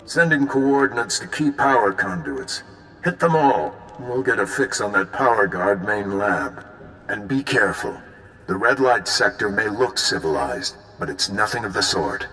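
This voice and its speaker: An actor playing a decisive, businesslike spy chief briefing an agent in a video game. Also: male voice, on the low side, lively intonation, moderate pace, bright, forceful tone, long reverb tail, a little hiss or hum, low-quality recording. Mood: brisk, urgent. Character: gruff, brisk male spy chief giving mission briefings. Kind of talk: video game cutscene acting.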